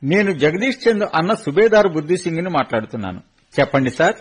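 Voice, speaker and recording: A man's voice: a little high, very animated, quick pace, husky. A man playing a proud, grieving soldier elder brother. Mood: polite, formal. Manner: solemn, formal and proud. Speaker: actor in a radio play. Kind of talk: radio play acting.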